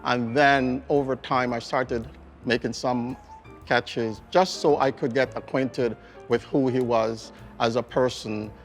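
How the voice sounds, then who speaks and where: A man's voice: mid-range voice, steady tone, slow, soft background music. A man answering interview questions as an artist, explaining his process conversationally, step by step. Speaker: portrait artist being interviewed.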